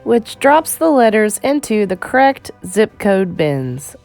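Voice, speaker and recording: A woman reading an educational children's picture book aloud in a measured, clearly phrased storytime delivery. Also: mid-range voice, very animated, darkish tone, faint music behind.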